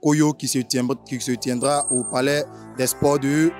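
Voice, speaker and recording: Ivorian man interviewing, deeper-toned and chatty. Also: level tone, measured pace, darkish tone, soft background music. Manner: chatty, repeats filler tags and rephrases questions. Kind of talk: informal spoken interview, street slang.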